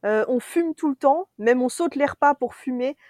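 A chatty woman from France talks with a slightly high voice. She is animated and laughs easily. The recording is close and dry, with no room sound. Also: normal speed, dry recording. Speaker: co-host reviewing a series. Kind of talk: casual podcast chat.

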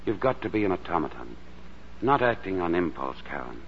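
A man acting a grave, earnest doctor in an old radio drama, speaking in a measured way. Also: deep voice, very animated. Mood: pleading. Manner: measured, solemn. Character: grave, earnest male sanatorium doctor, protective and controlled. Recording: muffled, noisy 1940s radio recording.

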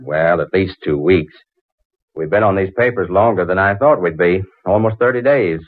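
A man's voice: low-pitched, normal speed. An actor playing an earnest, awkwardly affectionate male inventor in a 1940s radio drama. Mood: bashful, relieved. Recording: tinny 1940s radio recording.

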